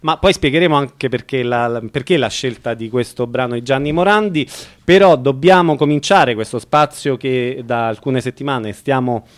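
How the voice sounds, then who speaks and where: A man presenting a radio program, introducing a song and then his guests. His voice is mid-pitched and smooth, and he sometimes trips over his words as he introduces people. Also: moderate pace, hardly any pauses. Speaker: radio host.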